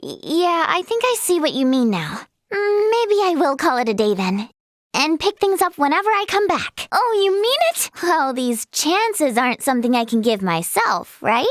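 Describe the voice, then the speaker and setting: Super high girly anime-game healer girl, eager n earnest, bouncy pitch, dry close recording. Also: moderate pace, no echo. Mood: curious, earnest. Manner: earnest, expressive, girlish. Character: eager, kind-hearted young female healer in fantasy game. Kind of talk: video game character acting.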